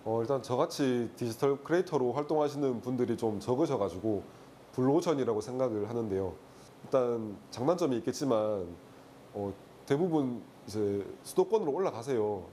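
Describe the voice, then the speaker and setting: A man in his thirties from Daegu with a Gyeongsang accent, a webtoon artist talking in an interview with relaxed fillers. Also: medium pitch, fairly quick, a little hiss or hum. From Daegu, South Korea. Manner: relaxed, earnest, frequent "uh" fillers. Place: indoor studio with shelves. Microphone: lapel.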